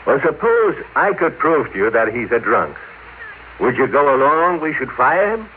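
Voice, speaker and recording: A man's voice: mid-range voice, very animated, normal speed, phone-quality sound, close to an everyday voice. An actor playing a suspicious, obsessive, argumentative businessman in an old-time radio drama. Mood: scheming. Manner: insistent, argumentative. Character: suspicious, obsessive, pushy businessman, elder brother. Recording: thin old radio broadcast, faint noise.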